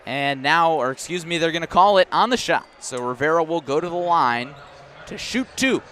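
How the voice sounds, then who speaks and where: Male radio basketball announcer, fairly high voice, clipped running call, light game noise in background. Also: lively intonation, moderate pace, sharp tone. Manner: clipped running description, rising on big plays. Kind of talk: live radio sports commentary. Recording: faint gym noise, bouncing balls. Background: basketball game in a gym.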